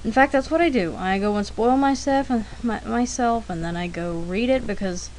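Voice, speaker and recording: A woman's voice: on the high side, moderate pace, rough recording. A woman giving casual, rambling commentary over her art demo, chatty and self-deprecating, thinking aloud as she goes. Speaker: artist narrating own drawing demo. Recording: steady background noise.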